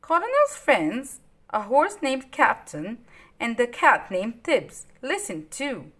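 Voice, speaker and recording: Slow, high-pitched woman reading a children's story. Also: huge pitch swings, fairly bright tone. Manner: simple, child-directed delivery with dramatic emphasis. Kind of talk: expressive children's story read-aloud.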